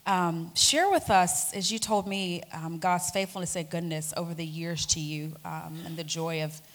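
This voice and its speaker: Animated woman hosting a faith talk. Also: lowish voice, normal speed. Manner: earnest, animated storytelling with fillers. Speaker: host.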